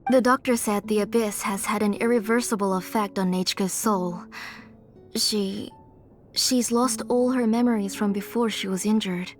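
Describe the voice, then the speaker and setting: A woman voicing a gentle, caring mother in a video game, speaking in a measured, tender way. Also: medium pitch, fairly even intonation, natural voice. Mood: sorrowful, resigned. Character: gentle, caring, sorrowful mother of a sick child. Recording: music playing behind.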